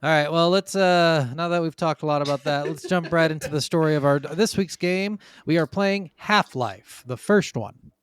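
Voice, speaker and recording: A man's voice: a little high, moderate pace, hardly any pauses. A man hosting a casual gaming podcast, talking in an informal, opinionated way with plenty of swearing. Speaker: podcast host.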